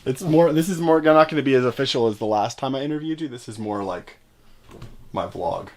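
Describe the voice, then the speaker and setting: A man's voice: medium pitch, fairly quick. A young man from the United States, talking casually and conversationally to the camera for his vlog. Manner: relaxed, conversational. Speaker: vlogger.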